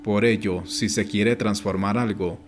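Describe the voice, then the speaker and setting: A man reading an audiobook as scripted narration, in a measured, instructive way. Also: lowish voice, fairly even intonation. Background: background music.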